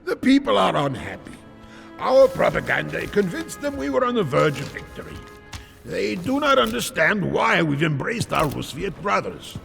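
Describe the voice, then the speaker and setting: An actor playing a proud, embattled ruler in a game cutscene, formal and imperious. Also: male voice, high-pitched, very animated, normal speed, creaky, a bit bright, exaggerated voice. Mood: grave, earnest. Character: embattled, commanding male monarch, earnest and proud. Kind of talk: dramatic game cutscene acting. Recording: long hall reverb with music.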